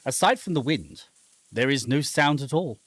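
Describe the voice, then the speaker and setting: A man's voice: medium pitch, lively intonation, normal speed, a bit bright, no room sound. A man voicing an anxious, tormented submariner who narrates his own humiliation in a dramatic, agitated way. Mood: uneasy. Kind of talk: dramatic reading of a horror visual novel.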